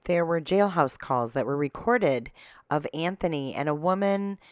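A woman's voice: sits low, lively intonation, normal speed, dry recording, clean studio sound. A woman hosting a podcast, reading scripted true-crime narration. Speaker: podcast host.

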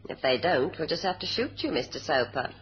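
An actress playing a cool, mocking young kidnapper in a 1970s radio spy drama, teasing and politely menacing. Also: female voice, low voice, lively intonation, brisk. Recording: dull old radio recording.

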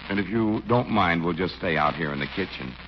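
An actor playing a cordial, easygoing small-town police chief with a halting, folksy manner in a 1940s radio comedy. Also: male voice, low-pitched, lively intonation. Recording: muffled, noisy 1940s radio recording.

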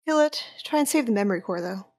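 Woman as cool deadpan sci-fi agent, young, teasing, lots of vocal fry, dry close sound. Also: mid-range voice, quick pace, no echo. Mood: cool, assured. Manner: deadpan, sardonic. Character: cool, sardonic young female operative, teasing sister. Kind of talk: sci-fi audio drama dialogue.

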